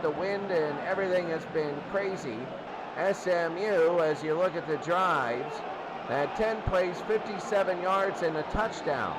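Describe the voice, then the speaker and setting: A man calling football play-by-play, getting excitable when the action picks up. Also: high voice. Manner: excitable on big plays. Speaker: play-by-play sports commentator. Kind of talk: American football game commentary. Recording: clear background noise.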